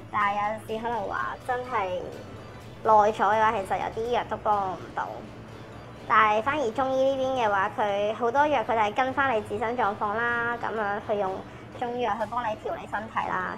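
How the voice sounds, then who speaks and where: A woman, a patient speaking softly and reflectively about her experience. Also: a little high, rough recording. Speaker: patient in a support program. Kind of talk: personal testimony.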